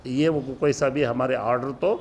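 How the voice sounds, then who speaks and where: A man giving a halting official press statement, mixing in English words. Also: medium pitch, fairly even intonation. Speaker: official spokesman. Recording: some background noise.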